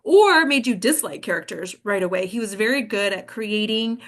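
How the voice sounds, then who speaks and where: A woman's voice: mid-range voice, lively intonation, normal speed, fairly bright tone. A woman leading an actors' table read, reading and chatting in an informal, encouraging way. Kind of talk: script reading and group discussion.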